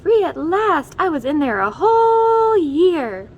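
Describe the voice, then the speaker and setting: A woman voicing excitable, childlike little crayons from a storybook, exclaiming dramatically. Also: very high-pitched, slow, pitched above the performer's own voice, heavily acted. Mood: excited.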